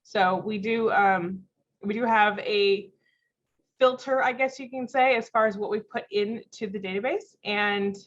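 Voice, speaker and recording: A woman with a congested voice from a cold and a slight cough, presenting a software webinar in a friendly, explanatory way with frequent ums. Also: mid-range voice, measured pace. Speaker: company vice president presenting a software webinar. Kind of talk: live product demo webinar. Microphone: video call mic. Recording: dull lo-fi video call audio.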